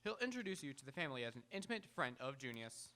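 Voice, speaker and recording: A man's voice: medium pitch, sing-song, rapid-fire, pushed and bright, slight background noise. A man playing a commanding, pompous magazine publisher with oratorical delivery in a live radio comedy. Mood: bossy, businesslike. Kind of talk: live radio drama acting. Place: auditorium stage, live radio theatre.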